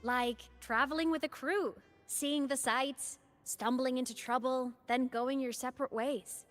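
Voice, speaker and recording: Breezy high-voiced ghost girl. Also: fairly even intonation, a little slow, faint music behind. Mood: breezy, curious. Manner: casual, teasing, upbeat. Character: breezy, playful young female ghost companion. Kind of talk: video game voice acting.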